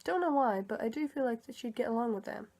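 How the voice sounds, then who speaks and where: A woman's voice: fairly high pitch, expressive, fast, vocal fry, dark tone. A young woman giving casual, unscripted commentary in a tentative, musing way, as if thinking aloud. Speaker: vlogger sharing opinions on film characters.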